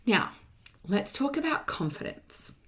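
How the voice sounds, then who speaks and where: A woman's voice: mid-range voice, sing-song, measured pace. A woman hosting a motivational solo podcast as a coach, speaking casually and emphatically, repeating key points, with her voice slightly strained from partly losing it.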